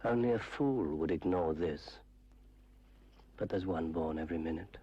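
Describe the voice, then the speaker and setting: An actor playing a malevolent water spirit, sinister and patient, narrating a 1970s public information film in a slow, ominous way. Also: male voice, dark tone, highs cut off, natural voice. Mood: menacing. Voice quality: low gravelly voice. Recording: noisy old 1970s film soundtrack.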